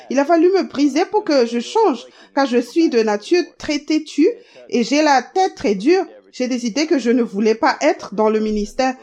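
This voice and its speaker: Woman doing a calm dub voice-over, slightly high voice, even and steady. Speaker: voice-over translator. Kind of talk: read French voice-over of a testimony video.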